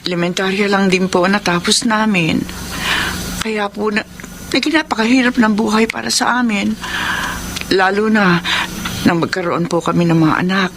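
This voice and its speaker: A woman's voice: mid-range voice, steady tone, moderate pace, long pauses, close to an everyday voice. An actress playing a poor, sorrowful mother in a Filipino radio drama, speaking in a plaintive, heartfelt way. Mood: humble, sad. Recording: noisy radio drama with ambience.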